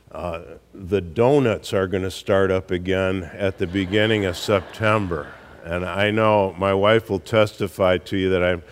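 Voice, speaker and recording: Hoarse, gruff-voiced pastor in a reverberant church. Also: male voice, low-pitched. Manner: slow, folksy, with frequent "uh" pauses. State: hoarse from a cold. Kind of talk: church service welcome and announcements. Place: church sanctuary. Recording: live church hall with long reverb.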